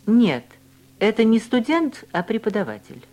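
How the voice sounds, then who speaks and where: A woman reading language-course drills and dialogues with slow, deliberate, carefully enunciated speech. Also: a little high, big pitch swings, a little mellow. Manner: clear, deliberate articulation of each word.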